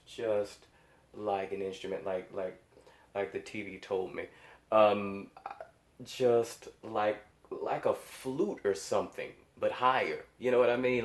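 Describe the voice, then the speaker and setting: A man's voice: fairly low pitch, roomy sound. A man giving casual, awestruck reaction commentary as a host, emphatic and often repeating phrases for effect. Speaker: host of a music reaction show.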